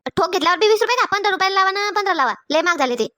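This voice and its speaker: A woman's voice: extremely high pitch, animated, racing, pushed and bright, heavily acted. A woman voicing an impatient, nagging village housewife in a comedy cartoon, colloquial. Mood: haggling. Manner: complaining, colloquial. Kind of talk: Marathi comedy cartoon dialogue.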